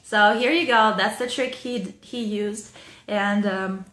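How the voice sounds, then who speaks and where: Woman, Ukrainian accent, casual vlog host, chatty with fillers, mid pitch, roomy echo. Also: fairly even intonation, takes it slowly, a bit bright. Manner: chatty, loose, with frequent fillers and small laughs. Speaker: songwriting video host. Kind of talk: casual talk to camera with reading aloud. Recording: echoey room.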